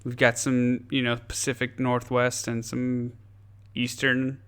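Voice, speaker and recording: A young man with an American accent speaks in a smooth, clear voice of middling pitch. He chats loosely and animatedly, cracking jokes and swearing freely, often breaking off and restarting. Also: slow. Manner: animated, profane, joking, with restarts. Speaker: podcast host. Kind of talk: casual chat.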